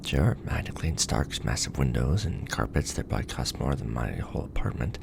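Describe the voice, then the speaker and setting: A man's voice: very deep voice, expressive, fairly quick, gravelly, background noise, exaggerated voice. A man playing a nervous, joking young ex-janitor in a roleplay audio drama, hesitant and informal. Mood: wry, cautious.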